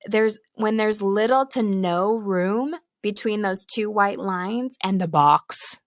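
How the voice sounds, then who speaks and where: A woman hosting a podcast who is losing her voice and sounds hoarse. She talks candidly and laughs between her points as she teaches at home into a close microphone. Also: mid-range voice, no echo. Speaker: podcast host. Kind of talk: casual teaching talk. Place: home with children nearby. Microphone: studio microphone, close.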